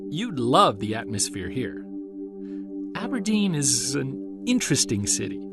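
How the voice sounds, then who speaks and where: An actor playing a wry, self-deprecating amateur investigator in an audio drama, speaking in a conversational, emphatic way. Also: male voice, fairly high pitch. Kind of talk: audio drama narration and casual dialogue.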